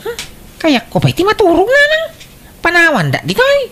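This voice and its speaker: A woman's voice: extremely high pitch, wildly swooping pitch, normal speed, fairly bright tone, slight background noise, heavily acted. A woman playing a stubborn, lazy young son who gambles, whiny and defiant, in an Ilocano-accented radio drama. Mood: whining, groggy.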